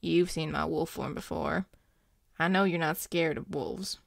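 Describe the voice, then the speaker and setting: A woman acts out a grumpy werewolf boyfriend in an intimate audio roleplay. The voice is lowish and gravelly, recorded very dry. The delivery is halting, gruff and tender, addressed straight to a loved one. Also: steady tone, dry recording. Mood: soft, coaxing. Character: grumpy, devoted young male werewolf boyfriend.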